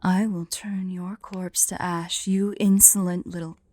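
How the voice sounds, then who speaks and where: Woman acting as female dragon, haughty, prickly, secretly fond, ASMR roleplay, very slow delivery, dark mellow tone, close dry sound. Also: lowish voice, level tone, no echo. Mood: indignant, threatening. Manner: imperious, indignant, slow and deliberate.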